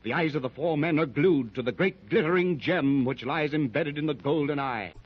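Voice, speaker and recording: Man, dramatic radio narrator, suspenseful, slightly high husky voice, lo-fi 1930s sound. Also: normal speed. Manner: vivid, suspenseful storytelling. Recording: dull lo-fi 1930s radio transcription.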